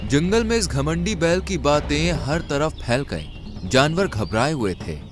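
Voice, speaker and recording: Lively, fairly high-pitched male story narrator. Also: unhurried, over music. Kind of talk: scripted children's story narration.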